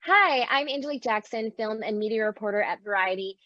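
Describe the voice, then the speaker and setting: A woman's voice: fairly high pitch, brisk, bright, forceful tone, lo-fi sound. A woman moderating a panel interview as a reporter over a remote video call.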